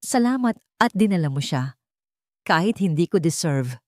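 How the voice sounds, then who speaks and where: A woman with a Filipino accent voicing a broken, ailing, remorseful father in a drama, halting and pleading. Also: fairly low pitch, animated, a little mellow, no room sound, studio quality, close to an everyday voice.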